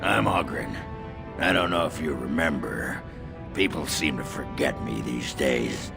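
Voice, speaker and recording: A man voicing a gruff, bitter, sardonic dwarf husband in a video game, grumbling his way through the words. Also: rumbling low, measured pace, music in the background, full character voice.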